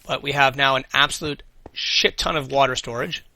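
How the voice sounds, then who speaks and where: A man's voice: mid-range voice, normal speed, vocal fry, pushed and bright. A man losing his voice, hosting a let's play and thinking aloud with casual swearing as he plays. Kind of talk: casual gameplay commentary.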